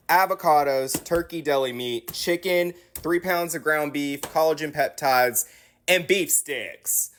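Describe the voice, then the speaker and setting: A woman ranting to camera, exasperated and swearing freely. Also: low-pitched. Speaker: social media poster.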